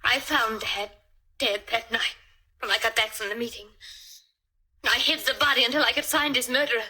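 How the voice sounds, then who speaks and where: An actress playing a determined daughter exposing a killer, urgent and accusing, in 1940s radio drama style. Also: female voice, fairly high pitch, fairly even intonation, moderate pace, pushed and bright, breathy edge, exaggerated voice, rough recording. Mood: accusing, resolute. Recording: old radio drama recording.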